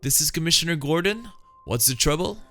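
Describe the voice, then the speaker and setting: A man voicing a calm, inquisitive police commissioner in a comic-book drama, brisk and authoritative. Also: fairly high pitch, faint music behind. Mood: businesslike.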